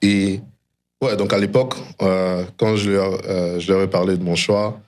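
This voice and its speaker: A man's voice: low voice, steady tone, normal speed, darkish tone. A middle-aged man from DR Congo and Canada speaks as an interview guest, telling a personal story reflectively and mixing English phrases into his French. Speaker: interview guest, tech founder.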